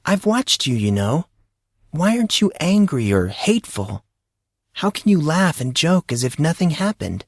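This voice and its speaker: A man's voice: on the high side, sing-song, normal speed, no echo, studio quality, voice pushed up. A man voicing a guarded, emotional teenage girl in an audiobook drama, pleading and questioning in a wounded way. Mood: accusing.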